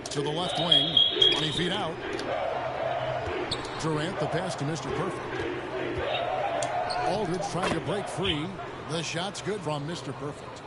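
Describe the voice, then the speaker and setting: A man playing a brisk play-by-play basketball announcer in a video game, making clipped, punchy calls. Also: on the high side, close to an everyday voice. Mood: calling play. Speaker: play-by-play sports commentator. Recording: loud arena crowd, ball bounces, noisy.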